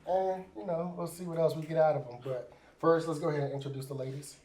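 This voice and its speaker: A man's voice: a little high, fairly even intonation, quick pace, darkish tone. A man hosting a casual sports interview, chatty and loose, with lots of fillers. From Pittsburgh. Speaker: sports show host.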